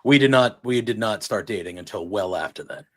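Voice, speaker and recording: A man's voice: fairly low pitch, brisk, fairly bright tone. A man in his forties in casual chat, rambling and laughing, swearing freely. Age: 40s.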